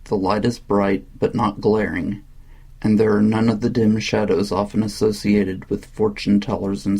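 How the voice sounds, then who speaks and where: A man with a deep-set, fairly dark voice reads a guided meditation in a calm, measured way. His delivery stays very even and soothing, with a husky, gravelly grain to it. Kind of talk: slow scripted guided meditation narration.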